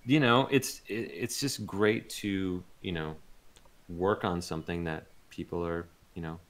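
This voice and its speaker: A man speaking as an interviewed voice actor, chatting casually in a rambling, informal way and pausing to think. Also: low voice, fairly even intonation. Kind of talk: casual chat in a livestreamed interview.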